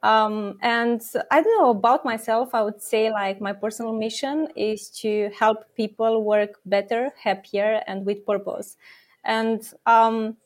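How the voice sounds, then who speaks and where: A woman's voice: fairly high pitch, steady tone, unhurried. Woman explaining earnestly with fillers. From Romania. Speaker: startup co-founder and product officer guest. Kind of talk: interview answers.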